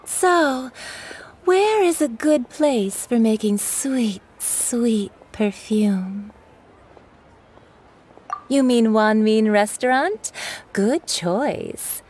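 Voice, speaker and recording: A woman's voice: fairly high pitch, expressive, extremely slow, long pauses, a little mellow, slight background noise. A woman playing a flirtatious, teasing, self-assured perfume maker in a video game, with a coquettish, playful manner. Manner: coquettish, playful, languid innuendo. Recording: background music over the voice.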